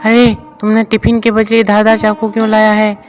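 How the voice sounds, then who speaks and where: Casting: frail old bird, kindly and plaintive a man acts it out, raised pitch, cartoon storybook, music behind. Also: monotone, moderate pace, close to an everyday voice. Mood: questioning. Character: weak, hungry, elderly bird, kindly and curious. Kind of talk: cartoon moral-story voice acting. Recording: music bed under the voice.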